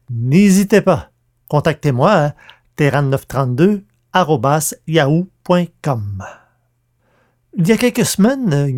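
A man's voice: a little high, darkish tone. A man hosting a podcast, reading scripted announcements with careful enunciation. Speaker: podcast host and audio drama producer.

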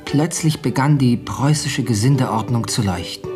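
A man playing a courtly, smitten editor in a radio drama. He speaks formally and tentatively, in a medium-pitched male voice, with music clearly playing behind him. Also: normal speed, lightly acted. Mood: gallant, smitten. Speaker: actor in a radio play. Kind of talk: radio play dialogue.

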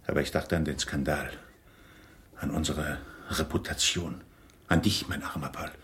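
A very low-voiced grave uncle in a radio drama. He tells his story deliberately and insistently, pleading while he justifies himself. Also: fairly even intonation, a bit bright. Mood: agitated confessing. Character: confessing older uncle, grave, self-justifying yet pleading. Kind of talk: 1980s German radio drama acting.

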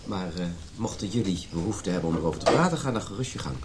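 An actor playing a polite, modest husband in a radio play, courteous and measured. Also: male voice, deep voice, lively intonation, heavy background noise. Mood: tactful. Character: polite, tactful, modest husband named Hans.

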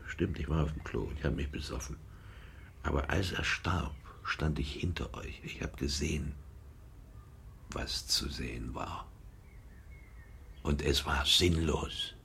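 An actor playing a bitter, scornful grown son in a radio drama, with a gravelly, sometimes breathy voice and a sardonic, muttering manner. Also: male voice, very deep voice, unhurried, long pauses, a bit bright, in a whisper, heavily acted. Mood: scornful, accusing.

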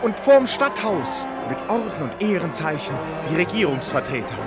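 An actor playing an eloquent storyteller in a radio drama, narrating in a florid, ceremonious and wry manner. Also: male voice, sits high, big pitch swings, normal speed. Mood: festive, awed. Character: eloquent, wryly festive storyteller of a royal novel. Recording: music behind.

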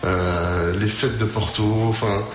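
A man's voice: on the low side, steady tone, slow pace. A man from northern Portugal chats on a radio panel, stopping and restarting with fillers. His voice sits in a roomy studio with plenty of echo. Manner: hesitant, many fillers, joking. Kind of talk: casual radio chat.

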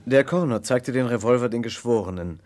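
A man playing the observant narrator of a German radio crime play, telling the story in a measured, literary way. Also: medium pitch, darkish tone, close to an everyday voice. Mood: measured storytelling. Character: observant male first-person narrator of a detective story.

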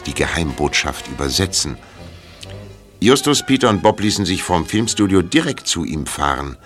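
A man playing a film director who narrates a detective mystery in a measured, deliberate way for a German audio drama. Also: low-pitched, fairly bright tone. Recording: music under the voice.

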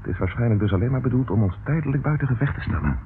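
An actor playing a shrewd, decisive detective who calmly takes command in a radio drama. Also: male voice, mid-range voice, very fast, lightly acted. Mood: tense, hushed. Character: shrewd, cool-headed male detective taking command in danger. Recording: old lo-fi radio recording, faint noise.

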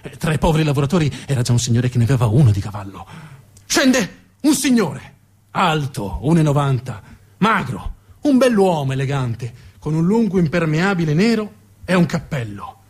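A man's voice: fairly high pitch, sing-song, moderate pace, a little mellow, slightly rough. A man telling a story as a stage narrator, emphatic and dramatic, building up lists and repetitions.

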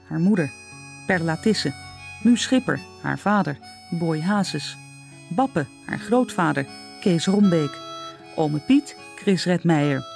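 A woman's voice: lowish voice, gravelly, darkish tone. A woman reading scripted closing credits as a radio announcer. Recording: music playing under the voice.

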